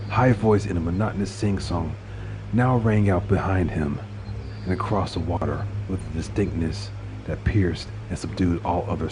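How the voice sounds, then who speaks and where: A man with a deep, low voice narrates a dark tale in a dramatic, scripted style. Clear ambient noise runs behind his reading.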